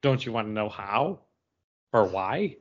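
A man's voice: lowish voice, animated, a little slow. Man chatting casually, slightly muffled audio. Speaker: comics podcast co-host. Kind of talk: casual discussion. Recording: dull lo-fi audio.

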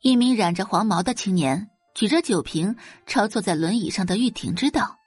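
A woman reads dramatic fiction as a storyteller, with a very varied melody. Her voice is slightly husky and sits in a roomy sound. Soft music plays behind her. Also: medium pitch, animated, normal speed. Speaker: audiobook narrator. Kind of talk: scripted novel narration, dramatic audio fiction. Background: faint background music.

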